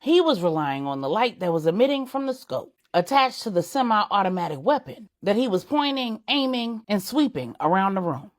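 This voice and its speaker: A woman storyteller reading a dramatic scripted story, phrasing it deliberately in short units. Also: medium pitch, very animated. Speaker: storyteller, narrator.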